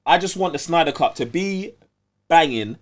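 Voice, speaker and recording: A man with a London accent chatting casually as a podcast host, excitable and slangy, repeating himself for emphasis. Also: fairly high pitch, normal speed. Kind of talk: animated casual fan chat.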